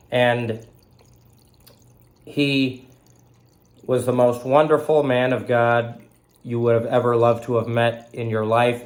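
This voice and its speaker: A man in his thirties from Southern California, hosting a faith broadcast while recovering from illness. He talks slowly and haltingly, with fillers and a slight cough. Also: mid-range voice. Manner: slow, halting, with frequent fillers and throat clearing. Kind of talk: casual spoken tribute.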